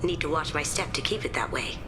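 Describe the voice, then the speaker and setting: An actress playing a sly, self-assured master thief in a video game, speaking in a breezy, understated way. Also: female voice, low voice, brisk, whispered, close to an everyday voice. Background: music.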